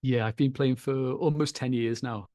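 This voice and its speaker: A man with a Liverpool accent, a podcast guest telling his story in an easy, measured way, with small repeats. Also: mid-range voice, steady tone, dark tone, dry recording, clean studio sound. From England. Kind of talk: relaxed storytelling in an interview. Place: home in Kenya.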